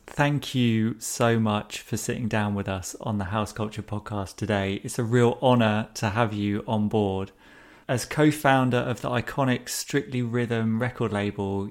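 A man hosting a podcast, reading a scripted introduction and asking polite, earnest questions. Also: lowish voice. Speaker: podcast host.

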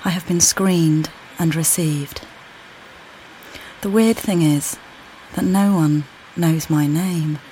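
An actress playing a weary, displaced mother who calmly guides the listener in a walking play, speaking plainly and directly to them. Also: sits low, takes it slowly, a little hiss or hum, rough recording. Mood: reflective. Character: displaced mother, weary and uncertain, far from home. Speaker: audio guide narrator. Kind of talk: immersive walking-play narration.

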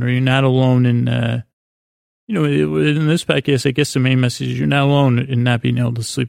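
Creaky, tired man rambling softly at bedtime. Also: mid-range voice, no echo, clean studio sound. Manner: meandering, hesitant, self-deprecating, halting restarts; gentle, soothing, aimed at putting listeners to sleep. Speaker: bedtime podcast host.

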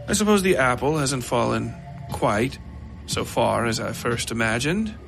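A man voicing a large, mysterious supernatural lord who speaks formally, in measured, quietly commanding phrases, in a horror story. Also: mid-range voice, sing-song, gravelly, soft background music, full character voice. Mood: cold, commanding.

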